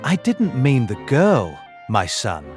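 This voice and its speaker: Casting: gruff, fatherly old inspector, teasing and hearty, hugely animated delivery, audiobook mystery drama. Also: medium pitch, takes it slowly, faint music behind. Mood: irritable. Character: genial, irritable old police inspector, father of grown son.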